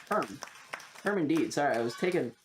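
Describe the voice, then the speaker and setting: A man's voice: a little high, lively intonation, normal speed, a crowd in the background, noisy background. A man chatting casually on a livestream, rambling and swearing with enthusiasm as he reacts and gives his takes.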